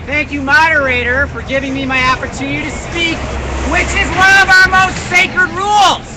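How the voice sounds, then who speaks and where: Loud high-voiced man reading proposals. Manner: loud, deliberate, reads each point then its reason. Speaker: proposal presenter at a general assembly. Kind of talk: reading prepared points aloud at an outdoor meeting. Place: outdoor public assembly with crowd. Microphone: phone livestream. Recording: crowd and street noise on a phone stream.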